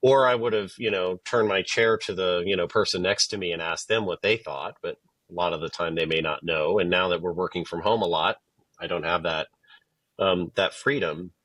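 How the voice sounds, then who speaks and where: A man's voice: low voice, moderate pace, fairly bright tone, dry recording, rough recording. A man speaking as a tech expert guest on a podcast, explaining his points conversationally with quoted examples. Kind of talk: conversational interview answers.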